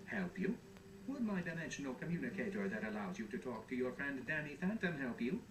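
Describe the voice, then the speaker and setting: An actor playing a resentful, bargaining helper robot in a cartoon game, speaking in a wordy, formal, sardonic way. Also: male voice, mid-range voice, very animated, brisk, natural voice. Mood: aggrieved. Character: hardworking, resentful, bargaining helper robot who collects figures. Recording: distant, echoey game audio with background noise.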